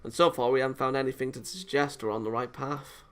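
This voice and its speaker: A man's voice: mid-range voice, steady tone, quick pace, a bit bright, clean tone, faint music behind. Man reading a visual novel aloud. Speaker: video game let's player.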